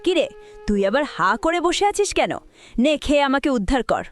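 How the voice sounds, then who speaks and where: Woman acting a cruel, high-voiced village stepmother. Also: big pitch swings, fairly quick. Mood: scornful, scolding. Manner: scolding, sharp-tongued. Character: cruel scheming village stepmother favouring her own son. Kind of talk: cartoon story voice acting. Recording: music behind the voice.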